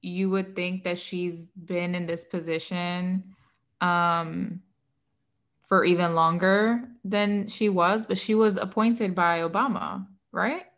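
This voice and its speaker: A woman's voice: fairly low pitch, steady tone, slow pace, fairly bright tone. A woman from Puerto Rico co-hosting a podcast in casual chat, chatty and laughing often, with lots of "like.". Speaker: podcast co-host.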